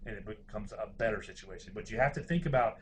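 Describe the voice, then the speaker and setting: A man's voice: fairly low pitch, quick pace, a little hiss or hum. A man giving an informal university lecture, explaining things in a hesitant, hedging way.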